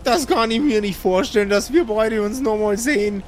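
A man's voice: sits high, normal speed, slight background noise, lightly acted. A man voicing a tiny, nosy old woman in an improvised audio role-play, folksy and chatty, repeating herself.

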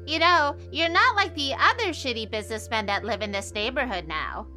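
A woman's voice: a little high, lively intonation, moderate pace, pushed and bright, faint music behind. An actress playing a blunt, cranky, vengeful old witch in a comedy horror radio play. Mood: softening. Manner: blunt, dramatic, scolding. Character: cranky old witch woman, vengeful, foul-mouthed homeowner.

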